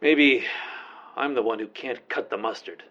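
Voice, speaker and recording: An actor playing an indignant, bewildered astronaut in a comedic radio play, given to melodramatic outbursts. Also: male voice, medium pitch, measured pace, a bit bright, lightly acted. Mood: resigned. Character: exasperated, paranoid male astronaut, indignant and bewildered. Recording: muffled audio with music behind.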